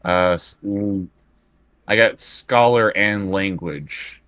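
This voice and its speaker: A man's voice: fairly low pitch, takes it slowly, a bit bright, dry recording. A man chatting casually as a tabletop roleplaying player, thinking aloud and working through his choices bit by bit.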